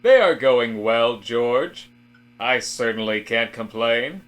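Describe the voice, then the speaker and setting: A man acts out a mild, agreeable male office colleague in a deadpan audio comedy. His delivery is slow and tentative, musing in drawn-out phrases at a medium pitch. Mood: stiffly pleasant. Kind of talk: deadpan comic audio drama dialogue.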